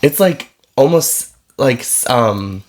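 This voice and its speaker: A man's voice: medium pitch, lively intonation, slow pace. A man co-hosting a podcast in casual chat. He is getting over a cold, so he sounds congested, and he is easygoing, laughs often and trails off mid-sentence. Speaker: podcast co-host.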